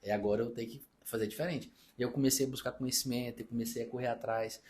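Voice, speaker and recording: A man's voice: medium pitch, steady tone, a little mellow. A man from Brazil telling stories in a casual podcast chat, acting out the dialogue as he goes. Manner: fast-talking, storytelling, reenacts dialogue. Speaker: accountant and business partner.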